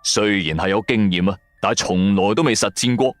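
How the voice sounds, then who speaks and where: A man with a Cantonese accent telling a scripted first-person story in a colloquial, expressive style. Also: fairly low pitch, a little fast, dry recording. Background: music.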